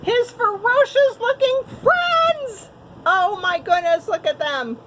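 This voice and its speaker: A woman teacher telling a picture-book story to young children, expressive, with little asides to her listeners. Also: very high voice, slow pace, slight background noise, poor audio.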